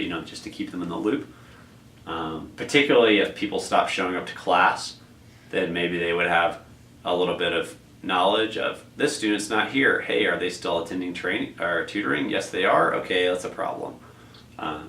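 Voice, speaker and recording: A man's voice: low-pitched, fairly bright tone. A man coordinating a tutoring program, explaining the process in a rambling way with many fillers and restarts. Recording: echoey room, distant mic, faint noise.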